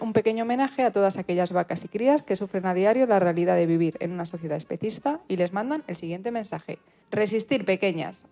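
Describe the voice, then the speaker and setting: A woman's voice: medium pitch, dark tone. A woman co-presenting a show, reading a scripted summary aloud. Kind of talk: scripted explanatory narration.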